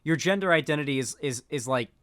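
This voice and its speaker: A man's voice: fairly high pitch, lively intonation, fast talker, a bit bright, clean tone, no room sound, clean studio sound. A man giving casual commentary on clips, rambling with frequent fillers and restarts. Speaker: political commentator.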